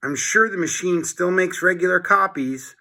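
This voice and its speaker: A man voicing an eager, mischievous schoolboy in a read-aloud storybook, confident and expressive. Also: high voice, normal speed, pitched above the performer's own voice, full character voice. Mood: dismissive.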